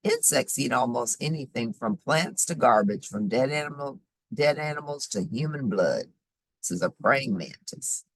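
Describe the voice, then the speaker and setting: A woman reading a children's nonfiction book aloud in a deliberate, explanatory way, emphasizing key words. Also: a bass voice, animated, slightly rough, no room sound, low-quality recording. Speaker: host reading children's science books aloud.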